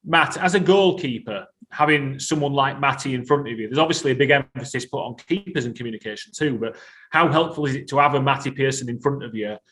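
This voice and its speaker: A man's voice: mid-range voice, fairly bright tone. A man hosting a conversational sports interview, articulate and wordy in his questions. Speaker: show host, interviewer.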